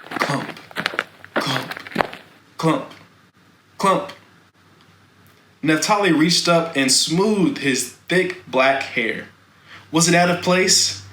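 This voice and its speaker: Slow, bright-voiced man reading a story. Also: on the high side. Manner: deliberate, dramatic. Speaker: storybook reader. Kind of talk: expressive scripted children's novel narration. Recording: echoey room, faint background noise.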